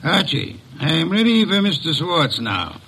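An actor playing a shrewd, heavyset, imperious private detective with formal, precise, dryly pompous diction in a classic radio drama. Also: male voice, on the high side, very animated, unhurried, pushed and bright, a little hiss or hum, heavily acted. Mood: commanding, unhurried.

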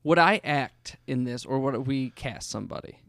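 A man's voice: medium pitch, animated, moderate pace, creaky, a bit bright, no echo. A man co-hosting a podcast in casual banter, joking and teasing as he talks. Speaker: podcast co-host and musician.

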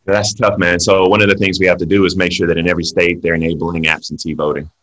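A man with an extremely deep voice that still cuts through bright and forceful. He speaks earnestly in a flat, level line, pausing often on ums as a podcast guest. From South Carolina, US. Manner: earnest, measured storytelling with frequent ums. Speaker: guest political commentator. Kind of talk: podcast interview.

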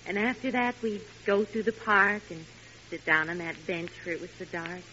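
A woman's voice: medium pitch, normal speed, sharp tone, close to an everyday voice. An actress playing a teasing, exasperated housewife and sensible mother in a 1940s radio sitcom, with a dry, chiding manner. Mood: fond reasoning. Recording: hissy, muffled vintage radio broadcast.

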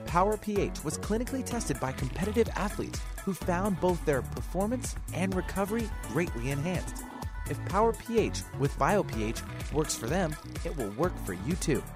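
An announcer reading a scripted health product commercial in a smooth, persuasive way. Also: medium pitch. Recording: ad with music bed.

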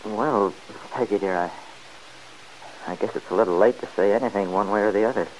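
An actor playing a loyal, plain-spoken farmhand friend in a 1940s radio drama, folksy and hesitant. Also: male voice, on the low side, moderate pace, darkish tone, slight background noise, close to an everyday voice, poor audio.

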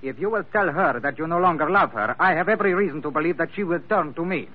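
An actor playing a proud, lovesick crime boss with silky formal politeness and menace, in 1940s radio drama style. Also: male voice, on the high side, animated, fast talker. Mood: earnest bargaining. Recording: thin, tinny vintage radio recording.

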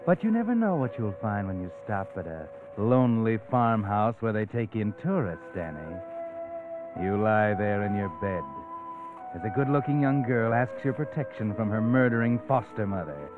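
Tinny old radio recording, actor playing sardonic male mystery narrator, ominous, deliberate, music underneath. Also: fairly low pitch, animated, close to an everyday voice. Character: sardonic omniscient narrator taunting the hero in second person. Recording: old radio, narrow phone-like band, music behind.

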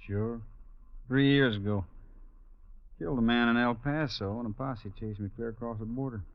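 An American actor playing a laconic young Old West gunfighter in a radio drama, speaking in terse, drawling understatement. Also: male voice, medium pitch, moderate pace, natural voice. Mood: matter-of-fact. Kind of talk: 1950s radio drama acting. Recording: tinny, narrow-band old radio recording.

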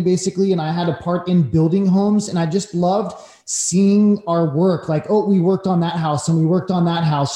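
A man's voice: high voice, warm tone. A man in his forties from northern California, telling his story casually as a podcast guest. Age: 40s. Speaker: podcast guest, real estate broker. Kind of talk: casual chat, personal storytelling. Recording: roomy echo.

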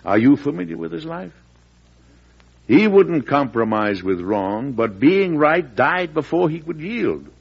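Gravelly, husky, stubborn President. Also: male voice, on the high side, big pitch swings, heavily acted. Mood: resolute. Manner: emphatic, stately, deliberate. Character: proud, stubborn US President, righteous and formal. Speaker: host and lead actor of a radio drama. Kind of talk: old-time radio drama acting. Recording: dull vintage radio broadcast.

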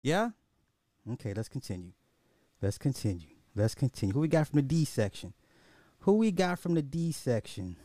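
A man's voice: mid-range voice, very animated, moderate pace, dark tone, no room sound. A man hosting a casual, opinionated commentary podcast in slangy, informal speech, thinking aloud and often repeating his own phrases. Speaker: podcast host.

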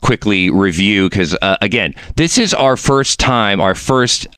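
This is a man hosting a podcast, with a deep, low voice. He keeps things chatty and jokey and drifts off on tangents. The sound is close and dry, recorded on a good studio mic. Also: lively intonation, measured pace, pushed and bright, no room sound. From Mexico. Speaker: podcast host. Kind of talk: casual interview chat.

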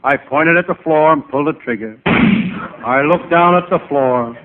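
A male comedian playing a wisecracking, hapless private eye, narrating in deadpan first person in old-time radio comedy style. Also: on the high side, a little slow, lightly acted. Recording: noisy, tinny vintage radio recording.

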